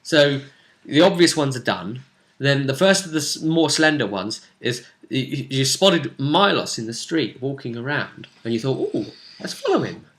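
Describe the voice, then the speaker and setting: A man with a bright, forward voice retells a tabletop adventure as game master. His delivery is casual but animated, with pitch that moves around a lot as he adds little asides. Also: moderate pace. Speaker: game master recapping a tabletop role-play session. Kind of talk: casual recap chat.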